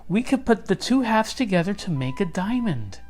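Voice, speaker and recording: A man voicing Lady Di, a clever storybook wife, patiently explaining in a bright, helpful manner. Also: high-pitched, sing-song, normal speed, faint music behind, pitched above the performer's own voice.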